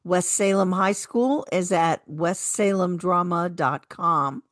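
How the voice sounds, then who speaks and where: Woman radio presenter, very unhurried scripted read, dry studio sound. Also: fairly low pitch, no echo. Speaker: radio co-host. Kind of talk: scripted calendar announcing.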